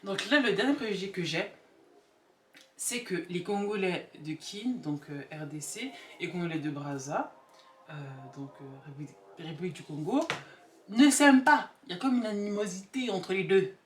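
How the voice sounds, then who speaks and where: A woman gives her opinions in a casual, joking vlog style. She is animated and emphatic, often repeating words for punch. Her mid-pitched voice is picked up from a distance in an echoey room, with music playing. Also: normal speed, a little hiss or hum. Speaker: vlogger. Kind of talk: casual comedic opinion chat to camera.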